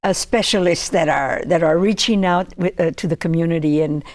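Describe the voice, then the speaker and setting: Woman, TV host, interview, friendly, hesitant, lowish voice. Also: moderate pace, a little mellow. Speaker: TV show host.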